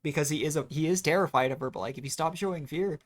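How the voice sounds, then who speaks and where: Low-pitched woman streamer musing aloud. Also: quick pace. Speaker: game streamer. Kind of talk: casual live commentary on a video game.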